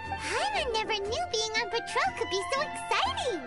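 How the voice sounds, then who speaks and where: A voice actress playing a tiny, excitable, childlike fairy companion in a video game. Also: female voice, normal speed. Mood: excited. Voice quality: squeaky, childlike. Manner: refers to self in third person, exclaiming. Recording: game audio under loud music.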